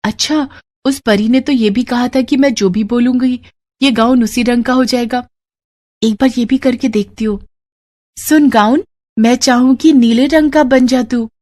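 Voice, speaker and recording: A woman's voice: fairly high pitch, steady tone, measured pace, darkish tone, no room sound, pitched above the performer's own voice. A woman voicing a plucky, innocent little girl with a bold, curious, childlike manner in a children's story. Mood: excited wonder.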